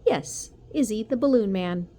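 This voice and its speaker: A woman voicing a calm, serious police chief father in a storybook, measured and gentle. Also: mid-range voice, natural voice. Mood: grave. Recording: noticeable background noise.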